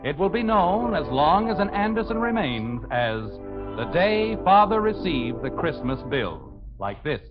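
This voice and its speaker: A man's voice: fairly high pitch, big pitch swings, a little slow. A man with an American accent, announcing and narrating in scripted 1950s radio style. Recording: muffled old radio recording. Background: music.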